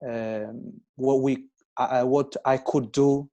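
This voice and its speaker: A man in his twenties who grew up in Italy, speaking slow, halting non-native English as a guest with many pauses and restarts. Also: mid-range voice, fairly even intonation, warm tone, muffled recording. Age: 20s. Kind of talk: casual interview chat.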